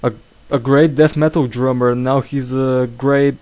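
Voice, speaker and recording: A man's voice: medium pitch, flat delivery, moderate pace, darkish tone. A young man hosting a casual podcast, with a slight cough, talking hesitantly and stumbling through fillers and restarts. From England. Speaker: podcast host. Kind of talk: casual chat.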